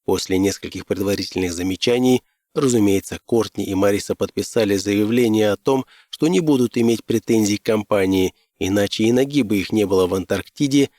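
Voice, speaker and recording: A man's voice: on the low side, fairly quick, hardly any pauses, darkish tone, no room sound, clean studio sound. A man reading fiction aloud as a scripted audiobook narrator.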